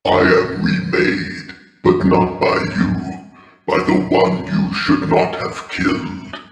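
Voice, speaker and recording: Cavernous echo surrounds a wrathful game demon. His deep, gravelly male growl moves ponderously over faint music. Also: completely flat delivery, takes it slowly, exaggerated voice. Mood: wrathful doom. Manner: ponderous, ominous. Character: huge demonic male nightmare monster, wrathful. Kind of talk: video game villain lines.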